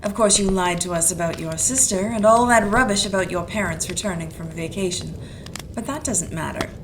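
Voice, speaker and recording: A woman's voice: lowish voice, fairly quick, close to an everyday voice. A woman playing a tough, wisecracking female private detective, talking in a dry, sardonic, clipped way, in an amateur audio drama. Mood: cool. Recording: clear background noise.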